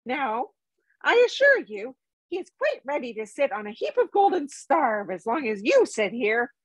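A woman reading a storybook, playing a small, fussy, polite male hobbit, nervous but plucky, with a squeaky voice and chatty, hedging manner. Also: sing-song, normal speed, husky, dry recording, heavily acted. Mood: earnest, persuasive.

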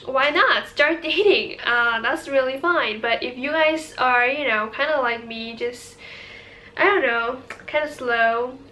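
A woman's voice: sits high, a little slow, bright, forceful tone, slight background noise. An eighteen-year-old woman with a Hong Kong accent, a native Cantonese speaker, chatting casually and a little hesitantly to camera as a vlogger. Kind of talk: casual chit-chat to camera.

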